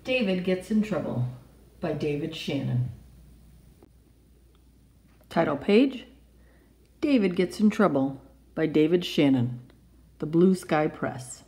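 A woman in her forties speaking deliberately and simply, as a teacher reading a story aloud to children. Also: deep voice, expressive, mellow. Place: indoors, wood-panelled room.

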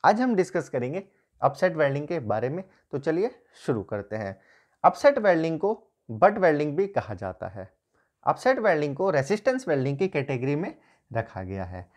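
A man in his thirties teaches an engineering topic, talking through each step as he sketches on a whiteboard. His voice swings widely up and down in pitch. He is picked up by a camera a few feet away in a small room. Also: a little mellow. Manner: explains step by step, pointing and drawing while talking. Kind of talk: whiteboard lecture.

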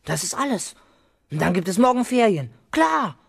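A man's voice: sits high, big pitch swings, normal speed, creaky, a bit bright, breathy edge, no room sound, exaggerated voice. Man acting a cocky, scheming young schoolboy. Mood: scheming, pleased. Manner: boastful, emphatic, self-assured. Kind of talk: 1970s German children's radio play acting.

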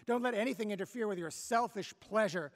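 A man voicing a bitter, scolding middle-aged mother, shouting his reproaches in a dramatic play reading. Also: fairly quick. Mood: furious reproach. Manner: shouting, reproachful, rising to high cries.

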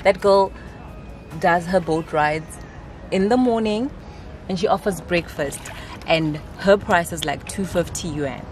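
A woman's voice: lowish voice, a little slow, soft background music. A young woman in her twenties chatting to viewers on a travel vlog, filmed outdoors on a lake boat with a handheld camera. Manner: chatty, animated, exclaiming to viewers. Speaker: travel vlogger. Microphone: handheld camera at arm's length.

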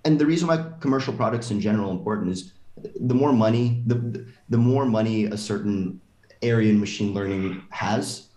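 Male tech CEO panelist, lowish voice, hesitant delivery, roomy call sound. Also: a little fast, mellow. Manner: hesitant, lots of um and restarts. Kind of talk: panel discussion on a video call.

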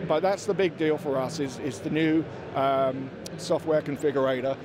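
A man in his forties presenting products as a company representative in an interview, somewhat halting and repeating words. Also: on the high side, steady tone. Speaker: company representative at a trade show. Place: indoor exhibition booth. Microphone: handheld interviewer's microphone. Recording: busy trade-show floor crowd noise.

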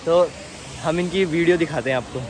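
A young man in his twenties filming a casual trail vlog on his phone and ribbing his friends as he walks. His voice sits fairly high. Rushing water and outdoor noise come through clearly on the phone mic. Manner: teasing, playful joking with friends. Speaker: vlogger. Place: outdoors on a hillside trail by a stream.

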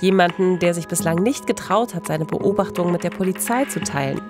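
A woman, narrating a true-crime podcast as host in a measured, scripted style. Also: lowish voice. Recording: voice over music bed.

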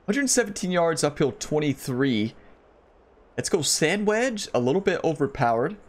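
A man's voice: on the high side, very animated, normal speed. Chatty man streaming a golf game. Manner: chatty, rambling, enthusiastic, talks to viewers. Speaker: gaming channel host. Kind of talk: casual gameplay commentary. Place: home gaming room. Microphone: headset mic.

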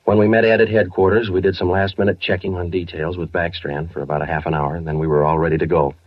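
An actor playing a terse, weary police detective sergeant, clipped and deadpan, in 1940s radio drama style. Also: male voice, low-pitched, fast, dry recording. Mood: matter-of-fact. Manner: clipped, deadpan, matter-of-fact. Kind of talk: 1940s radio acting, scripted narration. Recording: muffled vintage 1940s radio broadcast, music behind.

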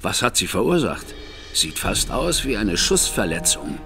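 A man's voice: on the low side, big pitch swings, measured pace, creaky, over music, full character voice. An actor playing a shrewd, composed male detective in a German audio drama, formal, courteous and inquisitive.

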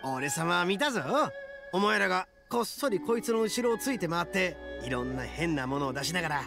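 Cocky game oni: high for a man, gravelly, animated, rough-mouthed, hot-headed. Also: a little fast. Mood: indignant. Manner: brash, rough, boastful. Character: brash, cocky, hot-headed young male oni ogre. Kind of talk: Japanese video game voice acting. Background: music.

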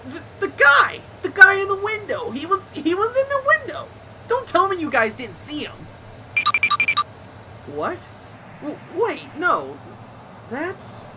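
Man as an animated, jumpy young detective. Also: very high voice, slow pace, long pauses, background noise, exaggerated voice. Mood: panicked, angry. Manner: stammering when flustered, expressive. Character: excitable, anxious young male detective. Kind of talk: fan audio drama acting. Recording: echoey, with loud background ambience.

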